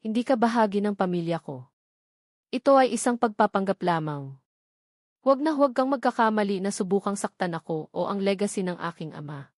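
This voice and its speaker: A woman narrator voicing a guarded, defiant female lawyer in an audio drama, stern and measured. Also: mid-range voice, expressive, darkish tone, no room sound, clean studio sound, close to an everyday voice. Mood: cold warning.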